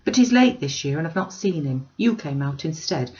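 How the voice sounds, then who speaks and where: A woman's voice: sits low, lively intonation, normal speed, darkish tone. Woman voicing a chatty old country woman, slightly muffled. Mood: earnest. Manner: earnest, polite, rustic turns of speech.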